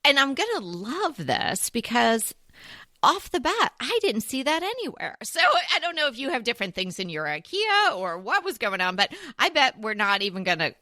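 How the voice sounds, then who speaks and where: Woman co-host gabbing about home stuff, bright lively tone, dry close sound. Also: a little high, normal speed, dry recording. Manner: chatty, enthusiastic, descriptive. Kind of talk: casual decor chat.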